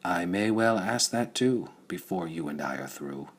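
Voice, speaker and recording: A man voicing a cold, commanding hierarch of a priestly scientist cult in an audiobook, measured and menacing, with a husky voice. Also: deep voice, expressive, exaggerated voice. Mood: cool, calculating.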